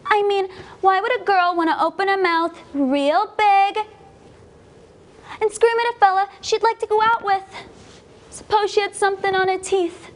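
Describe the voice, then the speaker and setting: Young woman as a very high-voiced fussy 1940s girl. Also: steady tone, normal speed. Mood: indignant, fussy. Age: young adult. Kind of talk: stage musical comedy acting. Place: TV studio.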